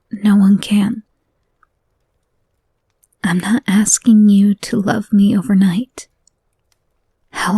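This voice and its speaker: A slow, hushed young woman obsessed with her captive, as a woman acts her out, her murderous devotion carried in soft whispers. She drifts from chilling calm to frantic apology and back. The voice sits close and dry, with no room around it. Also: medium pitch, breathy edge, dry recording. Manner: switches between menacing calm and frantic apology. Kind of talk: audio roleplay to a listener.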